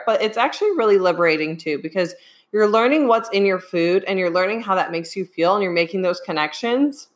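A young adult woman who coaches fitness, giving live advice with a voice worn hoarse by a sinus infection and often checking in with "okay?". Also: fairly low pitch, a bit bright. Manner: keeps asking "okay?". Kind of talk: live-streamed advice talk.

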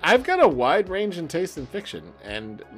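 Irreverent man with lively, swooping pitch. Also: moderate pace, faint music behind. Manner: irreverent, sweary. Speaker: podcast host. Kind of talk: casual joking podcast chat.

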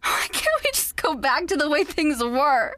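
A woman's voice: high-pitched, big pitch swings, measured pace, fairly bright tone, dry recording, heavily acted. A woman voicing a manipulative, controlling young woman who mocks and belittles her partner. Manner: mocking, condescending, venomous. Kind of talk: audio drama acting.

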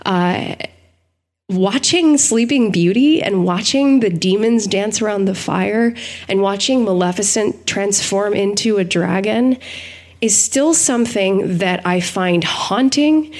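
A woman's voice: mid-range voice, slow, a little mellow. A woman co-hosting a podcast, talking in a reflective, analytical way with articulate, thoughtful phrasing. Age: adult. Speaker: podcast co-host.

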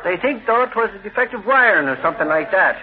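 An actor playing a boastful, excitable Irish-American newspaper reporter in 1940s radio style, with a colloquial, blustering manner. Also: male voice, high voice, quick pace. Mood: matter-of-fact. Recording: noisy, tinny old radio recording.

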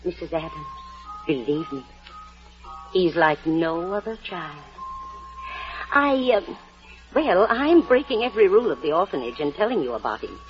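Fussy genteel matron, swooping lowish voice. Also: female voice, very animated, normal speed, long pauses. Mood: confiding. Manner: genteel, confiding, emphatic. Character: kindly, fussy, confiding orphanage matron. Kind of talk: 1940s American radio drama acting. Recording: muffled vintage 1940s radio broadcast, faint music.

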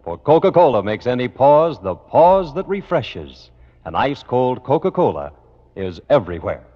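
A man's voice: mid-range voice, very animated, moderate pace. A man announcing a music show in the polished, formal, scripted style of mid-century radio. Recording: dull vintage radio broadcast, music behind.

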